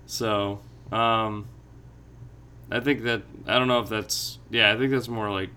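A man's voice: fairly low pitch, fairly even intonation, unhurried, bright, forceful tone, slight background noise. A man chatting casually on a podcast, reflective and meandering as he thinks aloud.

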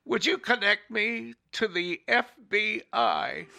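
An actress in a radio play playing an earnest, anxious museum security guard. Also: female voice, medium pitch, takes it slowly, creaky, bright, forceful tone, heavily acted. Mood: grave, anxious.

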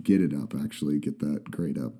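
A man's voice: very deep voice, a little fast, dark tone, slightly rough. A young man with a stuffy nose from a cold, hosting a casual podcast and chatting with many restarts. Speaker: podcast host. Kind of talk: casual chat.

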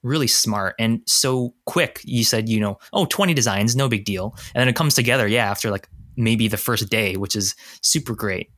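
Effusive low-voiced male interviewer. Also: moderate pace, few pauses, a bit bright, no room sound, clean studio sound. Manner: effusive, chatty. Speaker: interview host. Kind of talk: enthusiastic casual interview.

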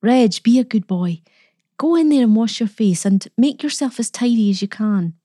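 Woman reader as anxious storybook mother, harassed, scolding, pleading, mellow tone, dry sound. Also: a little high, animated, normal speed, dry recording. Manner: sharp rebukes turning to pleading.

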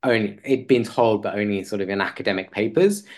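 A man speaking as an interview guest in a conversational way, hesitant and halting, often rephrasing as he goes. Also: fairly low pitch, darkish tone.

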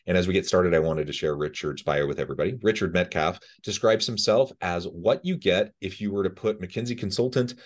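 A man hosting an interview podcast in a conversational style. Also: low-pitched.

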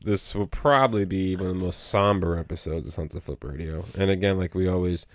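A man's voice: deep voice, a little fast, no room sound. A man co-hosting a casual podcast in a small studio, sounding a little nasal and rambling with lots of fillers. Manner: rambling, full of you know and um. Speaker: podcast co-host.

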